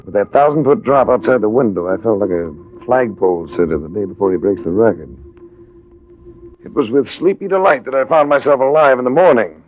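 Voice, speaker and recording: A man's voice: mid-range voice, lively intonation, brisk, faint music behind, natural voice. A man acting a suave, hard-boiled adventurer in a 1950s radio drama, with a wry, cool, tough-talking manner. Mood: wry narration. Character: suave, hard-boiled male adventurer narrating his own case. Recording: muffled, hissy vintage radio recording.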